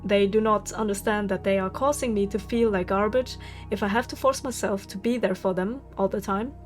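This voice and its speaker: A woman's voice: mid-range voice, steady tone, a little fast, faint music behind. Candid woman in her thirties. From Germany. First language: German. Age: 30s. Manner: candid, venting, swears freely, laughs often. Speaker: vlogger. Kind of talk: casual vlog monologue.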